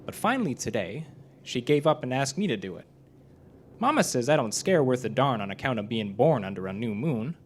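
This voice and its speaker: A man's voice: medium pitch, sing-song, a little fast, pitched above the performer's own voice, lightly acted. A man voicing an earnest, pleading young country girl with folksy rural phrasing, in a live story reading. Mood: earnest, uneasy. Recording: noisy live club recording.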